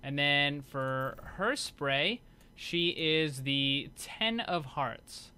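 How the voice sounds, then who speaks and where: A man's voice: fairly high pitch, animated, extremely slow, pushed and bright, a little hiss or hum. A man hosting a gaming video, giving casual, conversational commentary as he explains what is on show.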